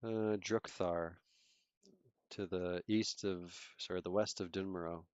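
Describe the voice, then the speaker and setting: A man's voice: deep voice, fairly even intonation, measured pace, darkish tone, clear, resonant tone, no echo, lo-fi sound. A man with a Toronto Canadian accent, chatting in a laid-back way as he streams a game and answers his chat. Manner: laid-back, chatty, trails off mid-sentence. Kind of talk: casual chat while gaming, reading chat.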